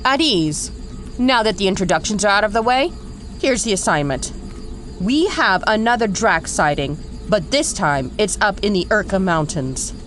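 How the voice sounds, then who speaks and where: Woman acting as army general in an audio drama, in charge, expressive, faint noise. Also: medium pitch, moderate pace, close to an everyday voice. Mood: briefing. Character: authoritative, composed female army general.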